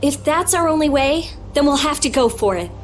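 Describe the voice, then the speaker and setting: A woman's voice: high voice, animated, fairly quick, a little hiss or hum. A woman playing a reassuring, resolute young woman adventurer in a video game.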